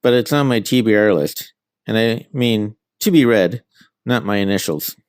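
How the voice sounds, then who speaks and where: A man's voice: lowish voice, moderate pace, darkish tone, smooth, no echo, clean studio sound. A middle-aged man being interviewed as an author, giving answers about their own work.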